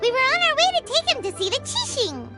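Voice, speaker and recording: An actress playing a small, excitable, fairy-like companion creature with a squeaky, bubbly way of talking. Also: female voice. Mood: chirpy, excited. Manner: squeaky, bubbly and fast-reacting. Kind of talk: game cutscene voice acting. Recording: game audio with loud background music.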